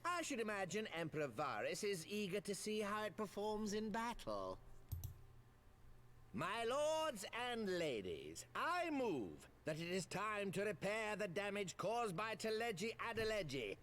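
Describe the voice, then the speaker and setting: A smug, scheming fantasy-game lord of commerce, who drawls with wildly swooping pitch. His speech is unhurried, formal and theatrical, over noticeable background noise. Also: wildly swooping pitch, a bit bright, exaggerated voice. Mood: coy, scheming. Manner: deliberate, drawling, sly rhetorical phrasing. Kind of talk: fantasy game acting in archaic formal English.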